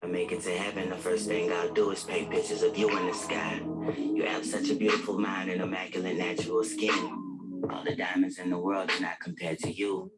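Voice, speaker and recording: Loud music runs under a man's deep voice. He performs spoken-word love poetry with earnest, rhythmic phrasing. His pitch barely moves from line to line.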